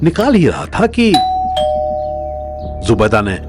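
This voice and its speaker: A man's voice: mid-range voice, wildly swooping pitch, takes it slowly, over loud music. A man narrating a scripted audio drama in a dramatic storytelling style.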